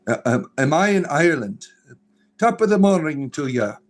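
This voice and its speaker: A middle-aged man from Florida, hosting a casual livestream and answering viewer comments in a rambling, drawn-out way with many fillers. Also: on the high side, big pitch swings. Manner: rambling, full of fillers and restarts, drawn-out narrator delivery. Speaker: livestream host.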